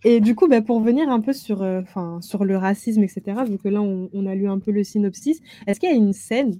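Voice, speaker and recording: A woman's voice: mid-range voice, brisk, mellow, a little hiss or hum. A young woman hosting a casual book discussion, speaking in an informal, colloquial way. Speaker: book club host.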